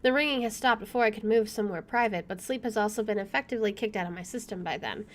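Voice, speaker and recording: A woman's voice: medium pitch, fast. A woman hosting a gaming video, expressively reading story text aloud and adding casual asides.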